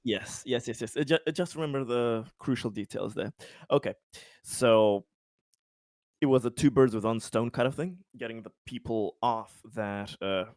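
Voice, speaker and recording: A man's voice: medium pitch, darkish tone, no room sound, studio quality. A man playing a tabletop RPG, casually recapping his character's schemes in a rambling, sweary, self-amused way.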